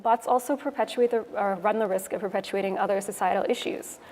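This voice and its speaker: A woman from Toronto with a Canadian English accent gives a prepared conference talk in a clear, measured, explanatory way. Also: medium pitch, fairly even intonation, a little mellow. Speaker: conference speaker, web developer. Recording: echoey hall, noisy room ambience.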